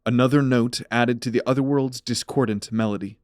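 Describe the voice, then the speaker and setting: A man's voice: medium pitch, steady tone, fairly quick, a little mellow, no room sound, studio quality. A man narrating a fantasy audio drama as a scripted, dramatic story.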